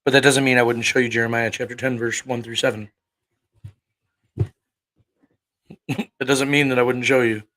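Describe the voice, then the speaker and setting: A man's voice: medium pitch, level tone, measured pace, pauses often, a bit bright, slight background noise. Thirties male livestream preacher, mid-range voice, combative and profane, fires rhetorical questions. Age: 30s. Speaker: online preacher. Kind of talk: livestream talk answering chat.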